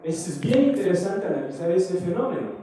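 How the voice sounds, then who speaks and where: A man's voice: sits high, sing-song, brisk, crowd noise. A man, a theatre maker who moved to Mexico from abroad, giving a speech at a conference opening. He speaks hesitantly, with many fillers and restarts, and often asks a rhetorical "right?". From foreign, living in Mexico. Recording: echoey hall, voice from far off, faint noise.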